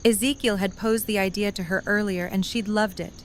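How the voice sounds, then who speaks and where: A woman's voice: medium pitch, fairly even intonation, a little fast, slight background noise. A woman narrator reading scripted story narration for an audio drama. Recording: loud music and crowd behind. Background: loud music, cheering or chattering crowd.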